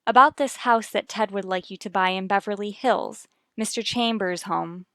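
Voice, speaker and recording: A woman playing a worried, firm mother with a measured, formal manner in a radio soap opera. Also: mid-range voice, fairly bright tone, no room sound, clean studio sound, close to an everyday voice. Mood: insistent.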